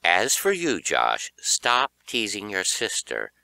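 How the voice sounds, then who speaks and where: A man's voice: lowish voice, huge pitch swings, creaky, sharp tone, no room sound, full character voice. A man voicing a stern, exasperated mother in an audiobook, scolding and demanding. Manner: slow, demanding. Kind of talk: acted audiobook dialogue.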